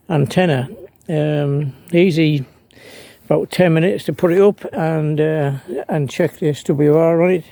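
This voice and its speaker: A man with a mellow, slightly higher-than-average voice talks through a hands-on demonstration in a very unhurried way. He is hesitant and thinks aloud, and his voice often settles into a gravelly creak. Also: dry recording. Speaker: amateur radio hobbyist demonstrating his setup. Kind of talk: unscripted how-to vlog narration.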